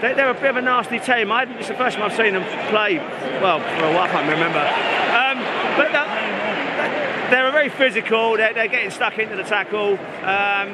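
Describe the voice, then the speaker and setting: A man, a football fan chatting casually in a halting, sweary way. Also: sits high. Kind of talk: casual chat and shouted encouragement. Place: outdoor football stadium stands. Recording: very loud crowd, noisy outdoor stadium.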